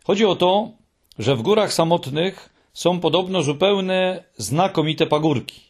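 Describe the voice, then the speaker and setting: A man's voice: high-pitched, unhurried, pitched above the performer's own voice. A man voicing a nervous, earnest young male troll creature in an audiobook, speaking in an anxious, pleading way. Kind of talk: audiobook character voice.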